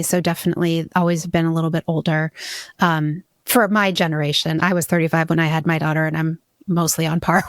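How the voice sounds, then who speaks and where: A woman's voice: on the low side, dry recording, studio quality. A woman in her forties from Hawaii, a podcast guest telling her story in a candid, reflective way with frequent restarts. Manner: reflective, many restarts and "you know".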